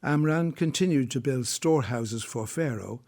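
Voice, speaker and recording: Male drama narrator, middle register, solemn, measured scripted storytelling. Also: medium pitch, darkish tone.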